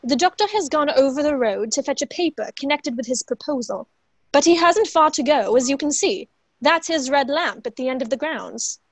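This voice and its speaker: A woman's voice: sits high, lively intonation, moderate pace, dry recording. A young woman playing a polite, efficient secretary to a duke, formal and courteous in a play reading. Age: young adult. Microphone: earphone headset on video call.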